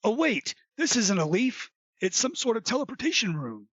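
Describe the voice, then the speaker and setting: A man's voice: sits high, very animated, normal speed, fairly bright tone, breathy edge, no echo, full character voice. A man playing an eager, scatterbrained little gnome musing aloud in a comedic audio skit, recorded with dull sound. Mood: curious.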